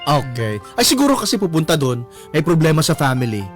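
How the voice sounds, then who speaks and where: A Filipino man hosts a casual radio advice show with a high, swooping voice. He is probing and playful and drops in English phrases, with music underneath. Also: very animated, brisk. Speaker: radio host. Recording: music behind the voice.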